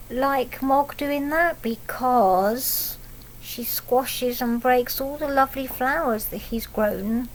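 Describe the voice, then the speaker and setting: A woman reading a picture book aloud to young children, pausing to explain things simply and ask them questions. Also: sits high, slight background noise, low-quality recording. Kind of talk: read-aloud picture book storytelling with asides.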